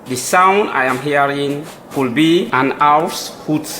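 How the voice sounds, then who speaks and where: A man's voice: on the high side, takes it slowly, pushed and bright. A Sierra Leonean man playing a devout, reassuring chief and husband in an audio drama, with formal phrasing. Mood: ominous, prayerful. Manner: formal, solemn wording. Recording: echoey room, faint background noise.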